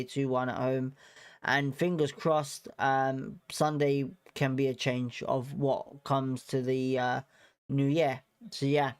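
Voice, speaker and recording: A man's voice: mid-range voice, clean tone. A man with a cold coming on, hosting a casual live stream and rambling with many fillers and repeated words. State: bunged up with a cold. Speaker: fan channel host.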